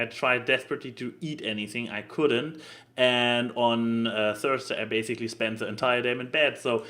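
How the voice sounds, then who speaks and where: A man hosting a casual podcast, speaking in a halting, hesitant way and often repeating his words. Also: mid-range voice. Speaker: podcast host. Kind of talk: casual unscripted podcast chat.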